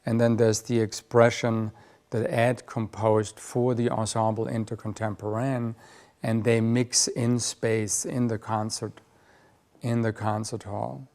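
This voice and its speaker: Flat-toned, lowish man with an Austrian accent. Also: measured pace. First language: German. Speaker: audiovisual artist. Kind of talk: interview for a documentary.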